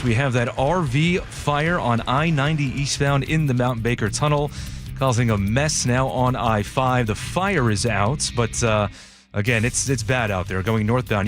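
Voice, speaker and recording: Brisk male radio newsreader. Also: medium pitch. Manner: brisk, clear newsreader delivery. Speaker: news and traffic reader. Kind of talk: scripted radio news read. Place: radio studio.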